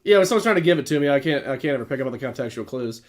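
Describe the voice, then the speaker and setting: A man co-hosting a casual beer podcast, stuffed up, talking in an animated way with sudden excited outbursts. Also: mid-range voice, very fast. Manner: animated, swings between mumbles and excited outbursts. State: stuffy nose. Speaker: podcast co-host. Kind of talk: casual chat.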